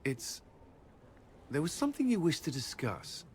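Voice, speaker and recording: A man's voice: a little high, wildly swooping pitch, moderate pace, darkish tone, a little hiss or hum. A man playing an earnest, reserved military commander and former knight in a video game, speaking formally with some awkward hesitancy. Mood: awkward, tender. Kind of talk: video game cutscene dialogue. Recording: game audio with clear background ambience.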